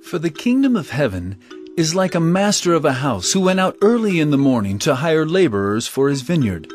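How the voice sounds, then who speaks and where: Authoritative biblical teacher, fairly high male voice, animated pitch, measured solemn storytelling, music bed. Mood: expressive storytelling. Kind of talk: audio drama scripture storytelling.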